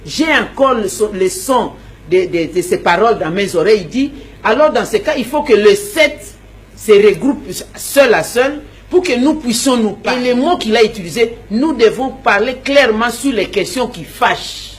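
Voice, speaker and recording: Husky-voiced politician, a woman, forceful and repetitive, stumbles on words. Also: on the high side, animated, moderate pace. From Democratic Republic of the Congo. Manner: emphatic, repeats words, stammers while searching. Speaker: opposition politician. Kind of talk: interview answers.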